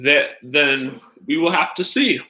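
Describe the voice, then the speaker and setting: A man hosting a livestream in casual chat, rambling haltingly and jumping between asides as he talks to viewers. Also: on the high side, sharp tone, rough recording. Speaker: livestream host.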